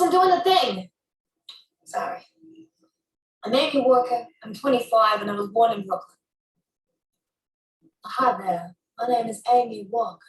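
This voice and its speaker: Actress in her 20s, quick accent showcase, slightly high voice, roomy and a bit far from the mic. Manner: switches between many English accents, playful. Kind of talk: rapid accent demonstration clip. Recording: roomy sound from a distance.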